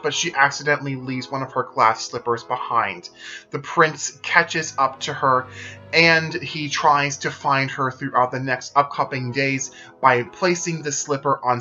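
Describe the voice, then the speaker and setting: Guy with a bright forceful voice reading his script, kinda dull lo-fi sound. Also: mid-range voice. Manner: steady explanatory retelling. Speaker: video presenter. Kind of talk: scripted narration.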